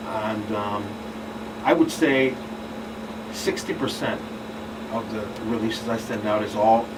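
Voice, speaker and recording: A man speaking as a police spokesman guest on a talk show, in a halting way with repeated words. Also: lowish voice. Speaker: police public information officer, guest. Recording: distant mic, clear background hum.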